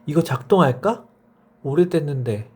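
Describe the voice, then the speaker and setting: A man's voice: a little high, expressive, normal speed, a little mellow, slightly rough, pitched above the performer's own voice. A man voicing a sweet, delighted nine-year-old girl in a children's bedtime story. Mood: curious.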